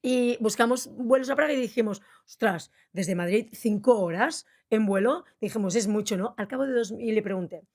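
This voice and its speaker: A woman from the Costa Brava, hosting a podcast interview in a casual chat, telling lively anecdotes with quick asides. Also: on the high side. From Costa Brava, Spain.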